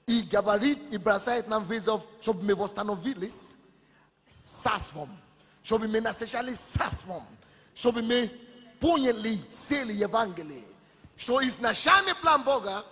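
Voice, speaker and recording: A man's voice: high-pitched, animated, measured pace, bright, forceful tone. A male pastor preaching a sermon with impassioned, emphatic declarations. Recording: long church hall reverb with music.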